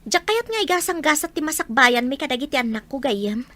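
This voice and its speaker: A wary, sorrowful mother, played by a woman with a fairly high voice and an Ilocano Filipino accent. She speaks earnestly, as in a radio drama about family hardship. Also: big pitch swings, a little fast, pushed and bright, heavily acted. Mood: wary, burdened. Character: wary, burdened mother raising children alone. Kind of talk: Ilocano audio drama acting.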